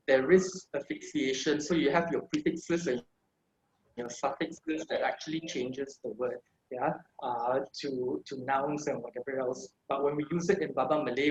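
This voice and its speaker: A man's voice: mid-range voice, moderate pace. Singapore-raised male presenter on a video call, casual and rambling, roomy muffled sound. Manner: chatty, many fillers and tag questions. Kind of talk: online talk with slides. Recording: echoey room, dull lo-fi video call audio.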